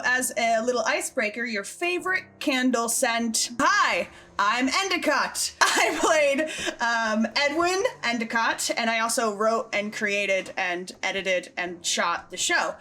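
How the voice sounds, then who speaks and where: A woman's voice: on the high side, animated, a little slow, pushed and bright, a little hiss or hum. A woman hosting a casual Q&A chat, informal and bubbly in manner. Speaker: host and show creator. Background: background music.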